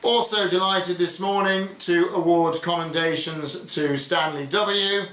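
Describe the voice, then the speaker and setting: Slow-speaking headmaster in an echoey space. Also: male voice, high-pitched, fairly even intonation, fairly bright tone. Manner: effusive, congratulatory. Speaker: headmaster presenting a school assembly. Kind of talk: formal school assembly address. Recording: echoey, voice distant from mic.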